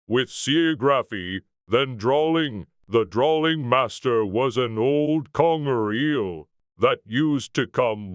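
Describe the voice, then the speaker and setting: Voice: medium pitch, no room sound, clean studio sound. A storybook narrator voicing a melancholy, touchy old male turtle who tells tales in a sighing, mournful way.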